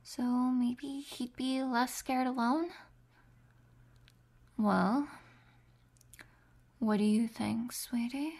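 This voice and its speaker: Woman doing a clingy, possessive captor girl in an audio roleplay, husky whisper with fry, slow and cooing. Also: a little high, heavily acted. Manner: soft whispering, cooing pet names. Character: two doting, possessive young women captors.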